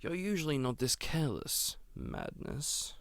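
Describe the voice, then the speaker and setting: A man's voice: on the high side, huge pitch swings, a little slow, gravelly, fairly bright tone, no room sound, heavily acted. A man playing a stern duke who is master of the manor in an audio roleplay drama, speaking in a cold, commanding way. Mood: displeased.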